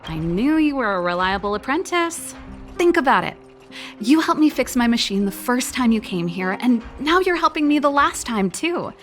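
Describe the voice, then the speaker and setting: A woman's voice: a little high, big pitch swings, normal speed, faint music behind. A woman playing a breezy, confident older-sister mechanic in a video game, chatty and teasing with a nostalgic streak. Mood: playful, wistful.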